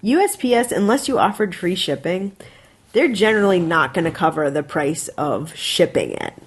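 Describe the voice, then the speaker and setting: A woman's voice: fairly low pitch, big pitch swings, moderate pace, husky. An American woman co-hosting a podcast, giving casual advice in an instructive, emphatic way. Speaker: podcast co-host.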